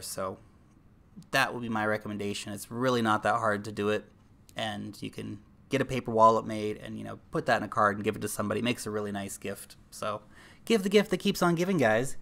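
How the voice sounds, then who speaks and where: A man's voice: on the low side, a bit bright. A young man with an American accent, hosting a casual livestream, reading out viewer comments and chatting with fillers along the way. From New Zealand. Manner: chatty, addresses viewers as guys, thinks aloud with fillers. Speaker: livestream host.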